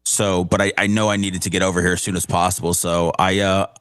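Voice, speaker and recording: A man's voice: low voice, little pitch movement, quick pace, fairly bright tone, clean tone, no echo, studio quality. A man chatting casually as a member of a radio show crew. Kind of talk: casual chat.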